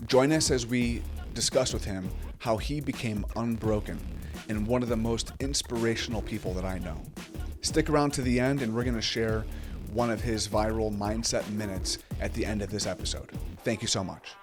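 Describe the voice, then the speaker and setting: A man's voice: on the low side, moderate pace, over music. A man in his forties hosting a podcast interview, talking earnestly in casual slang. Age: 40s. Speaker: podcast host.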